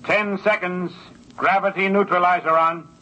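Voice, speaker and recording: A man playing a brisk, officious space traffic control operator, clipped and procedural, in 1950s radio drama style. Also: high voice, steady tone, natural voice. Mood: tense countdown. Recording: dull old radio, clear engine noise.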